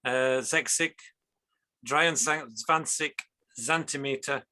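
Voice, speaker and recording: A man's voice: mid-range voice, slow, sharp tone, a little hiss or hum. A man learning a language, reciting practice words one at a time.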